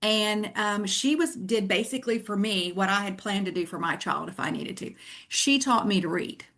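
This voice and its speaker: A woman's voice: mid-range voice, lively intonation, moderate pace, a bit bright. Earnest middle-aged Southern American woman. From Tennessee. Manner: earnest, reflective storytelling. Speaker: guest author. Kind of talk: casual interview chat.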